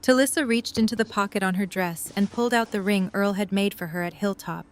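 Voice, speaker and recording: A woman's voice: mid-range voice, fairly quick, a little hiss or hum, close to an everyday voice. A woman reading scripted story narration for a fan audio drama.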